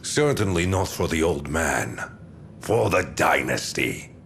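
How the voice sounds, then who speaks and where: A man's voice: very deep voice, animated, takes it slowly, fairly bright tone, slight background noise, heavily acted. An actor playing an imprisoned male heir who talks in a brooding, wry, resigned way.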